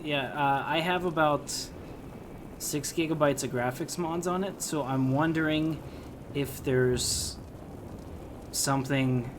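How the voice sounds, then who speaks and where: A man's voice: a little high, slow, pauses often. A man hosting a gaming video with casual, conversational playthrough commentary. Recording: clear noise behind the voice.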